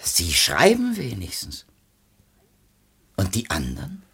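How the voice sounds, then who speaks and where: Radio drama, male: a curious, uneasy intellectual editor, reflective phrasing, pitch swinging widely. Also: wildly swooping pitch, slow, vocal fry, a bit bright, a little hiss or hum, full character voice. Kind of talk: 1970s East German radio play acting.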